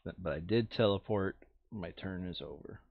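A man's voice: low-pitched, normal speed, gravelly, narrow, tinny audio. A man playing a tabletop game online, switching between casual chat and reading game text aloud. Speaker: board game player reading out scenario text.

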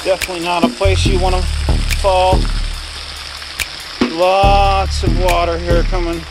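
A woman's voice: fairly low pitch, flat delivery, a little slow, very noisy. A woman narrating a casual outdoor vlog by a storm drain channel, exclaiming in disgust as she points things out. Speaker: urban explorer vlogger. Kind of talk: casual walk-and-talk narration. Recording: loud music over the voice.

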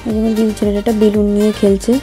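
A woman's voice: mid-range voice, monotone, rapid-fire, warm tone, soft background music. A woman vlogger, giving a casual voice-over narration in short phrases.

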